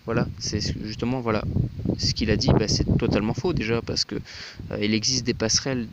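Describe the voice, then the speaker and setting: A man's voice: mid-range voice, fairly even intonation, fairly quick. A man giving spontaneous, critical commentary as a radio hobbyist, often repeating words as he searches for the next one. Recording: dull lo-fi sound, heavy noise, clicks.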